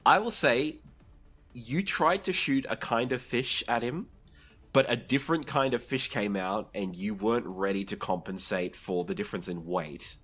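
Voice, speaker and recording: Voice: mid-range voice, moderate pace, a bit bright, slightly rough. A game master casually narrating and running a tabletop roleplaying game, playful and free with swearing.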